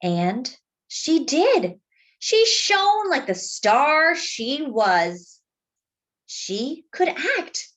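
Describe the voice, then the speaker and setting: High, lively woman reading a picture book. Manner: animated, deliberate phrasing with questions to listeners. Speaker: children's story reader. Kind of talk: expressive picture-book read-aloud with asides to young listeners.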